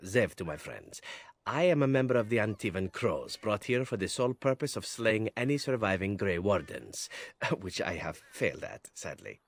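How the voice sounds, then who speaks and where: An actor playing a charming, flippant elven assassin in a video game, with a suave, wry, theatrical delivery. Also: male voice, lowish voice, sing-song, normal speed, no room sound. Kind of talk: video game character dialogue.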